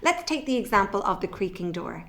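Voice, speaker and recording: A woman's voice: medium pitch, big pitch swings, brisk, fairly bright tone. A middle-aged woman presenting a children's theatre workshop, explaining simply and guiding with encouragement. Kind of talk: children's instructional video, explaining and leading warm-up exercises. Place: indoors in a bare room at home. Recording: echoey room.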